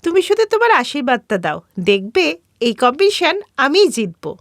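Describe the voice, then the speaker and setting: A woman's voice: high voice, big pitch swings, moderate pace, dry recording, studio quality. A woman voicing a confident, cheeky young daughter-in-law in a cartoon story, chatty and cajoling.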